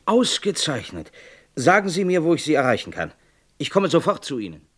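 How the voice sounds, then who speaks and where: A man's voice: a little high, sing-song, normal speed, a bit bright, breathy edge, no echo, heavily acted. A man playing a probing, businesslike police inspector in a German radio crime drama, questioning insistently in clipped phrases. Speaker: actor.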